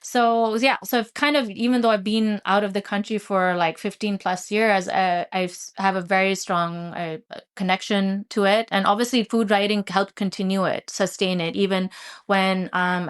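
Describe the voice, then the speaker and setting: A woman in her forties from Pakistan, a guest author in a studio interview, speaking thoughtfully with many fillers and pauses. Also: mid-range voice, clean tone. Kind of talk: casual interview chat. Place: recording studio.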